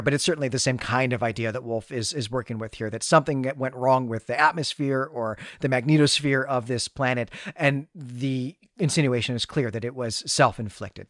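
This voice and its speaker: A man's voice: medium pitch, dry recording, studio quality. A man co-hosting a podcast, recapping and discussing a story in a thoughtful, analytical, conversational way. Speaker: podcast co-host.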